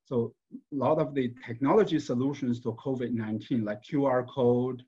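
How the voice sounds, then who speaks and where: A man from China, a professor giving a panel talk, speaking haltingly with many repeated words and fillers. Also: medium pitch, mellow, slightly rough. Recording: slightly dull, lo-fi sound.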